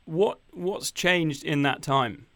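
Man, radio host, smooth clear voice, dry studio sound, rambling questions. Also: on the high side, takes it slowly, a bit bright, no echo. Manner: friendly, rambling questions with many ums. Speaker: radio presenter. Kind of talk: radio interview.

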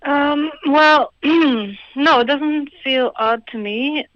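A woman's voice: high-pitched, very slow, no echo, muffled recording. A woman from Croatia, a singer-songwriter answering interview questions in a hesitant, thoughtful way with small laughs. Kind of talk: radio interview guest.

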